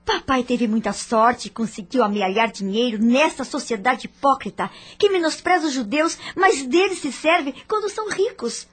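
An actress playing a spirited, headstrong young heiress in love, with a theatrical delivery, in a radio drama. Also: female voice, high voice, animated, moderate pace, few pauses, husky.

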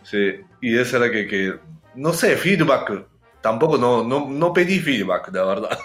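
A Japanese man with a Japanese accent chats as an interview guest in unhurried, halting Spanish, pausing often to find the right word. His voice swings widely up and down as he laughs through his stories. The room is roomy, with faint music underneath. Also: medium pitch, animated, fairly bright tone. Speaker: guest speaker. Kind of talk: casual interview chat.

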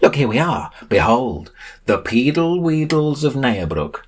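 A man's voice: mid-range voice, big pitch swings, unhurried, husky, voice pushed up. A man voicing an eccentric, bossy, aloof adventurer with theatrical delivery and oddly mixed dialect words in a story. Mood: grandiose.

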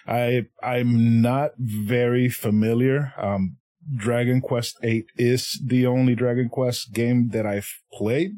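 A man's voice: mid-range voice, takes it slowly. Man, casual and laughing. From Puerto Rico. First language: Spanish. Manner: laughs often, swears freely, animated. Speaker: podcast guest. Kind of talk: casual chat.

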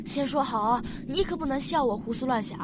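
A woman's voice: sits high, a little fast, very noisy, lightly acted. A woman playing a curious, stubborn young daughter in a science-fiction radio drama, earnestly reasoning like a little scholar. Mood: earnest suspicion.

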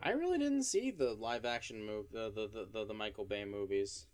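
A man's voice: on the low side, lively intonation, normal speed, a little mellow. Podcast co-host, New York man, a bit nasal, opinionated, stumbles and restarts words. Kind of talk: casual chat.

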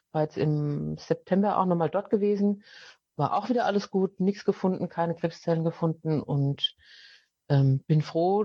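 A woman talks through her experience plainly and matter-of-factly, often pausing with fillers. Her voice comes through a dull, lo-fi connection, recorded dry with no room sound. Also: low voice, no room sound. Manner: plain-spoken and matter-of-fact, with frequent fillers. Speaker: interview guest. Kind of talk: casual conversational storytelling.

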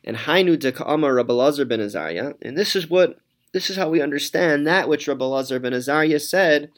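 A man teaching a Talmud class, reading each Aramaic phrase and then translating it, with frequent pauses and ums. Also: on the high side, expressive.

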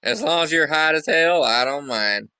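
A man's voice: on the high side, animated, normal speed, sharp tone, clean tone, no room sound. A man in his twenties in a casual, flirty chat, playful and stammering as he repeats himself. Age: 20s.

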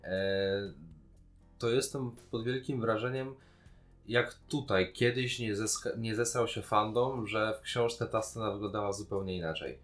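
A man's voice: on the low side, measured pace, a bit bright, faint music behind. A man co-hosting a podcast in casual chat, musing and colloquial as he talks. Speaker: podcast co-host. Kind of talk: casual chat, film and series discussion.

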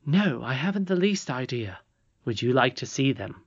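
Casting: storybook miner lad performed by an audiobook reader, courteous but sceptical, plain-spoken, middling pitch. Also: big pitch swings, normal speed, dull audio. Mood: polite, cautious. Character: polite young miner boy, doubtful, plain-spoken.

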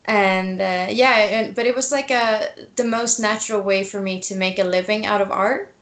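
A woman, a tattoo artist chatting as a guest in a relaxed interview. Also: medium pitch, normal speed. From Sweden. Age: 20s. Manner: relaxed, laughs often, many fillers. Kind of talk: casual interview.

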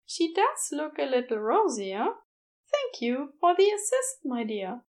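A woman voicing a fussy, gentle, affectionate man who speaks in a prim, gushing way in an audiobook. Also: sits high, very animated, measured pace. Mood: fond. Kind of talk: audiobook character acting.

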